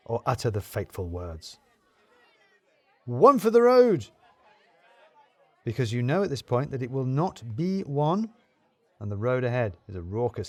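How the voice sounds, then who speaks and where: A man's voice: mid-range voice, darkish tone, dry recording. A man narrating a scripted comic story in the first person, with a wry, wordy, theatrical manner.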